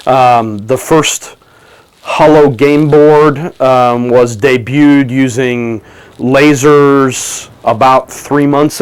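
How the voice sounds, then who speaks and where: A man talking to camera from his office about technology for business, pausing often with ums and restarts, his voice a little gravelly from a cold. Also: mid-range voice, rough recording. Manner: halting, many ums and restarts. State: has a cold, slight gravel. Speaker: tech commentator. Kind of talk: casual video talk to camera. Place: office under renovation.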